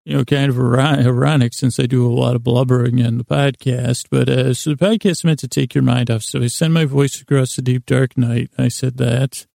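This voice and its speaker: Very creaky, rambling man. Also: medium pitch, fairly even intonation, mellow, no room sound, clean studio sound. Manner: meandering, halting, absent-minded, trailing off and doubling back. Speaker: podcast host. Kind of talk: rambling, whimsical sleep podcast monologue.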